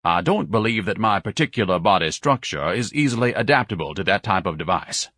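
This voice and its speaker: A man's voice: on the low side, lively intonation, fairly quick, a bit bright, no room sound, studio quality. A man voicing an obese, pompous, indignant man who lectures and complains melodramatically in an audiobook. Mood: pompous complaint.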